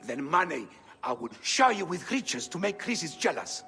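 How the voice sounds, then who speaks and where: Effusive, swooping, high-voiced fawning man. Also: very animated, normal speed, gravelly, sharp tone, in a large hall, soft background music, exaggerated voice. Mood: gushing gratitude. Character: effusive, fawning, flamboyant merchant-like man, grandly grateful. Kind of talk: video game voice acting.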